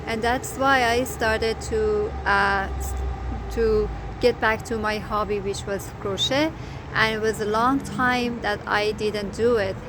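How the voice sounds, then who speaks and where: A woman's voice: medium pitch, fairly even intonation, slow pace. A middle-aged woman with a Persian accent, whose first language is Farsi, telling her story as a crochet designer in a casual, hesitant way, with many fillers and restarts. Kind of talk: casual personal story to camera. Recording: noticeable background noise.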